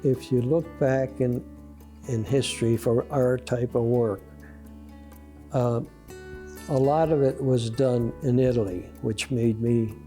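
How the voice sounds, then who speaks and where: Male interviewee, mellow and dark, slow pace, slightly distant mic. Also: mid-range voice. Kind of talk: interview clip for a tribute video.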